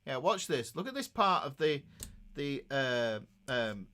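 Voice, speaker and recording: A man's voice: mid-range voice, very animated, a little slow, sharp tone. A man giving an informal guitar lesson, talking conversationally and often checking in with the listener as he demonstrates. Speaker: guitar teacher. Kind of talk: informal instructional talk with demonstrations.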